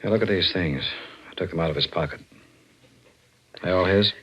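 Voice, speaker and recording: An actor playing a tough, blunt homicide detective in a 1940s radio mystery, curt and commanding in a hard-boiled way. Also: male voice, deep voice, normal speed, a bit bright, husky. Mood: brisk, probing. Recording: muffled old-time radio recording.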